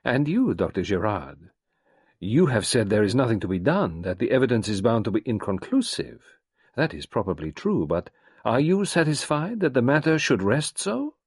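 Man playing a calm old detective, patient, probing, dry close sound. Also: mid-range voice, moderate pace, slightly rough, no echo, pitched above the performer's own voice.

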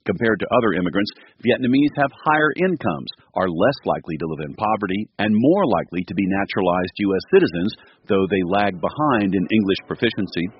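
A man reporting for radio news, telling the story in a measured, scripted narration. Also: lo-fi sound, a little hiss or hum. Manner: measured storytelling delivery with lively pitch.

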